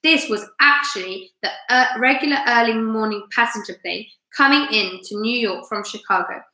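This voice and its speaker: A woman with a bright, fairly high voice hosts a live story time for children. She reads expressively and puts questions to her listeners, in a room with clear echo. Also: normal speed. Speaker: teacher reading aloud to children on a live stream. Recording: roomy, echoey room sound.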